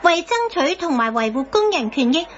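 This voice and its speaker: A woman's voice: sits high, big pitch swings, fairly quick. An actress with a Hong Kong Cantonese accent playing an opinionated, theatrical, argumentative woman in a satirical radio drama. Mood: plaintive. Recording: slightly dull radio broadcast.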